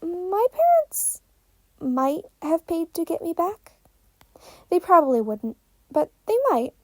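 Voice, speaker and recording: महिला द्वारा निभाया ऊँची आवाज़ वाला भोला, खोया हुआ लड़का. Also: female voice, extremely high pitch, big pitch swings, slow pace, no echo, voice pushed up, full character voice. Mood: sheepish. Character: lost, frightened, earnest nine-year-old rich boy.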